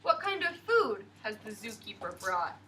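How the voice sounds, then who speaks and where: A woman's voice: medium pitch, measured pace, far from the mic, slight background noise, pitched below the performer's own voice. A woman voicing a brave, playful little male monkey in a storybook, exclaiming eagerly. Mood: wistful. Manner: excited exclaiming.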